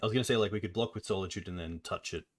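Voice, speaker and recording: Low-voiced man calmly analyzing plays. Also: very fast. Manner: calm, analytical, lays out plays step by step. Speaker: streamer host. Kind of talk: casual gameplay chat.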